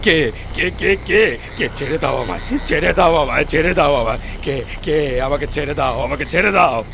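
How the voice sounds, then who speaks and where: An actor playing an elderly village grandfather in an audio horror story, with a creaky, deliberate delivery. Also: a little high, a bit bright, slight background noise. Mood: terrified. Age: older. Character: elderly village grandfather, alert, uneasy, kind to family. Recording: music bed under the voice.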